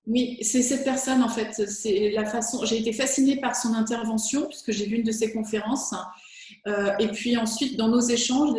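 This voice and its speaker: French woman, guest speaking coach, slightly high, eager, very echoey room. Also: darkish tone. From France. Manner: enthusiastic, fluent with occasional fillers. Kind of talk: interview answers.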